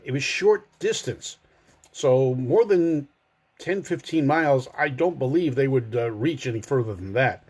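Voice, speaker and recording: A man's voice: mid-range voice, a little mellow. An older man hosting a car enthusiast show, talking casually and explaining things in a folksy way with wry asides. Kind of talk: casual informative monologue to a live audience online.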